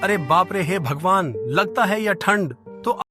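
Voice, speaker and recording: A man's voice: high-pitched, lively intonation, unhurried, music in the background. A man playing a poor, weary, kind woodcutter and family man in a cartoon moral story, speaking plaintively and earnestly. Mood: suffering from cold.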